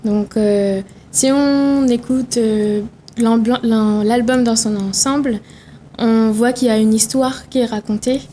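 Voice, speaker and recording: A woman's voice: a little high, fairly even intonation, unhurried, mellow, slight background noise. A young woman from Réunion, a musician guest answering interview questions thoughtfully, with fillers and restarts. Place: TV studio.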